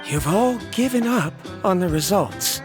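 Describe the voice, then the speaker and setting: A doubtful young scholar. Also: male voice, high-pitched, sing-song, measured pace. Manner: analytical, doubtful. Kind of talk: video game voice acting. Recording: game audio with background music.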